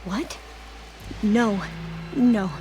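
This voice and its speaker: A woman's voice: medium pitch, extremely slow, background noise. An actress playing an evasive young woman adventurer in a video game, speaking hesitantly and carefully. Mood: flustered denial. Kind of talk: video game cutscene acting.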